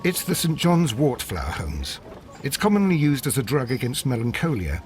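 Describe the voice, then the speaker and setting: Actor as earnest gentleman, lively intonation. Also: medium pitch, moderate pace, slight background noise, close to an everyday voice. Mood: informative. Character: earnest, educated Victorian gentleman companion of a detective. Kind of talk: video game cutscene acting.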